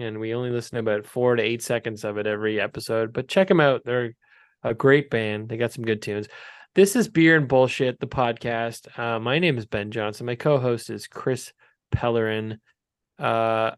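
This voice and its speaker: A man's voice: medium pitch, smooth, no room sound. Guy in his 40s hosting a chatty podcast, rambly and jokey, dry close sound. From Canada. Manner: joking, rambling, quick to laugh. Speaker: podcast host. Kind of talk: casual chat.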